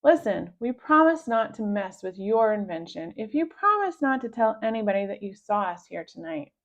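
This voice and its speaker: A woman's voice: fairly high pitch, sing-song, moderate pace. A woman voicing a confident, mischievous schoolboy prankster with a casual, breezy manner in a children's storybook. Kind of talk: children's storybook read-aloud.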